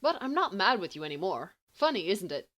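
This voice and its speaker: Audiobook role: flippant teenage boy played by a woman, easily wounded, mock-grand, swooping expressive delivery. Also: mid-range voice, brisk, voice pushed up. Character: brash, wounded, teasing teenage schoolboy.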